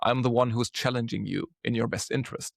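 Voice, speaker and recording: A man's voice: medium pitch, fairly even intonation, quick pace, gravelly, dry recording, studio quality. A man in his thirties with a German accent, speaking frankly as a guest in a casual podcast interview and repeating words as he restarts. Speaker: guest, solution engineer.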